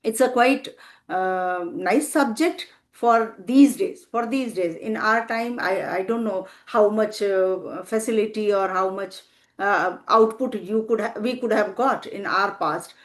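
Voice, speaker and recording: An older woman, a retired teacher, interviewing a guest in a slow, encouraging conversational style with long framing questions. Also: medium pitch. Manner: thoughtful, pauses with "uh", asks long framing questions. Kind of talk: slow, encouraging conversation in English as a second language.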